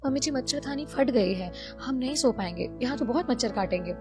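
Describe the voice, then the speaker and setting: A high, animated cartoon daughter-in-law acted by a woman, timid yet scheming. She whines and pleads dramatically, exasperated by her in-laws, with music behind her. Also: brisk. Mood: anxious pleading. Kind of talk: Hindi cartoon story voice acting.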